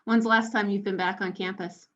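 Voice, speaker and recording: A woman's voice: mid-range voice, fairly even intonation, fairly quick, a bit bright, lo-fi sound. A woman who coaches college tennis, hosting the interview and keeping it going with short, encouraging replies. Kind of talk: video call interview.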